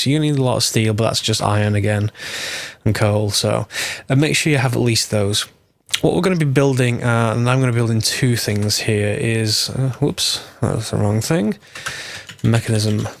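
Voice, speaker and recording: A man, slightly hoarse from a cold, giving a casual game tutorial and thinking aloud as he corrects himself. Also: medium pitch, no room sound.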